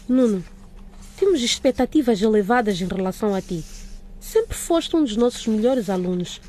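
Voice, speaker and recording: A woman playing a stern but concerned school director in a radio drama, with a measured, admonishing manner. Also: a little high, lively intonation, dark tone, a little hiss or hum, close to an everyday voice.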